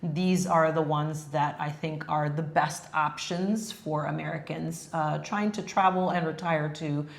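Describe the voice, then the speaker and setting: A woman's voice: deep voice, steady tone, a little slow, gravelly, hardly any pauses. A woman whose first language is Spanish, co-hosting a casual travel chat, with frequent laughs and fillers. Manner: friendly, laughs often, many fillers. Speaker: co-host. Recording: echoey room.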